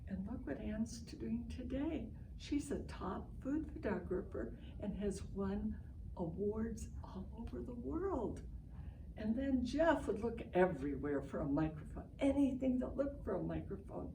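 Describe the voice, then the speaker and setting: A woman's voice: fairly high pitch, lively intonation, a little slow, vocal fry. An older American woman giving an informal, instructive educational talk to families, with emphatic storytelling. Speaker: literacy educator hosting an online session. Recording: echoey, distant mic, noisy online stream.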